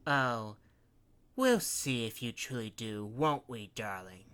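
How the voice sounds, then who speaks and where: A man voicing a scheming female cat queen who coos and taunts in a hushed, silky way. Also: mid-range voice, animated, unhurried. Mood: cooing.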